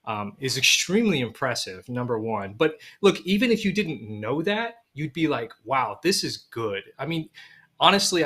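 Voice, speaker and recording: A man's voice: medium pitch, fairly bright tone, breathy edge. A man speaking casually and enthusiastically as a film director guest in an informal interview.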